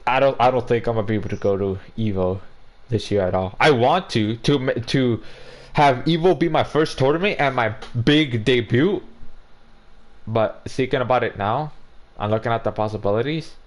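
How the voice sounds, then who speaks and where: A young man co-hosting a casual gaming podcast. He rambles, repeats himself and restarts sentences. His pitch sits in an ordinary middle range. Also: moderate pace. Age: 20s. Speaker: podcast co-host. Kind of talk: casual chat.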